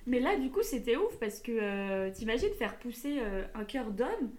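Chatty young woman, slightly high voice. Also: very animated, normal speed, a little mellow. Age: young adult. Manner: chatty, rambling, giggly. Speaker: podcast co-host. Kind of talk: casual podcast chat.

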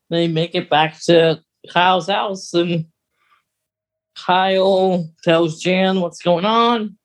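A podcast co-host with a mid-pitched voice and a very unhurried pace. The delivery is casual and halting, rambling through a recap as in a relaxed chat between co-hosts.

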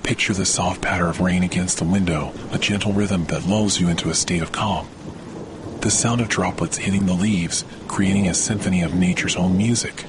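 A man hosting a guided sleep meditation, speaking in a slow, soothing way with long pauses. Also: low voice, steady tone, background noise. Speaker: podcast host and meditation guide. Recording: loud rain and thunder behind voice. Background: rain and thunder sounds.

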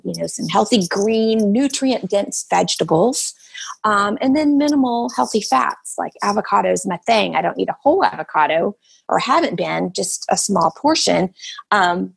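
A woman in her fifties, a podcast guest, explaining her habits in relaxed casual chat with many fillers. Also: mid-range voice, moderate pace, gravelly, breathy edge. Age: 50s.

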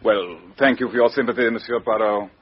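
An actor playing a stiff, pompous male politician in a 1950s radio drama, with a husky voice and an indignant manner. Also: mid-range voice, steady tone, brisk, natural voice. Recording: dull old radio broadcast.

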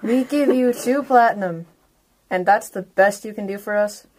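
A woman with a husky voice chatting casually as a player in a tabletop game. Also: mid-range voice, normal speed, a little mellow.

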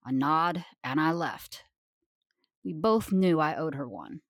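An actress playing an arrogant, tough gunslinger crewmate in an audio drama, speaking in a dry, clipped, cocky way. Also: female voice, low-pitched, slow pace, dry recording, full character voice. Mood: reminiscing.

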